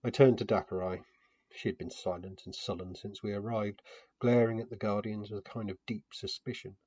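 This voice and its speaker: A man's voice: fairly low pitch, fairly even intonation, a little fast, a little mellow, husky. A man narrating a story dramatically in the first person, in a muffled home recording. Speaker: narrator of a fantasy fiction podcast.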